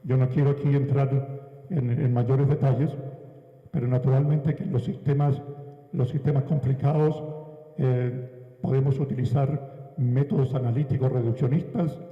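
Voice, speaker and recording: A man giving a measured academic lecture at a conference, with frequent hesitation fillers. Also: medium pitch, steady tone. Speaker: conference speaker. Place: large conference hall. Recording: echoey, dull hall sound.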